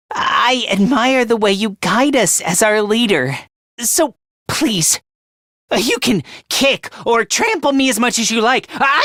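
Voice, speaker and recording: A man voicing a meek, self-loathing young anime game character in a high, light voice, hesitant and apologetic, stammering as he puts himself down. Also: a bit bright, no echo, clean studio sound, heavily acted. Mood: earnest, pleading. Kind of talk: anime-style video game voice acting.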